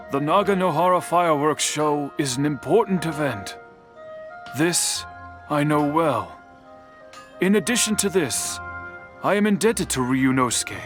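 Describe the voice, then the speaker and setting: Game role: stern older craftsman, deliberate and courteous, animated contours, faint music behind. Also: fairly high pitch. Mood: calm, formal. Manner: formal, deliberate, admonishing. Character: stern, measured, kindly older master craftsman. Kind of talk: anime-style video game voice acting.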